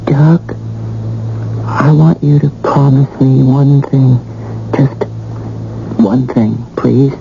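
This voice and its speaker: An actress playing a dying young wife in a radio drama, faint, halting and pleading. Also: female voice, a bass voice, fairly even intonation, creaky, heavily acted. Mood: weak, pleading. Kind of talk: 1980 radio drama acting. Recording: dull, noisy old radio broadcast recording.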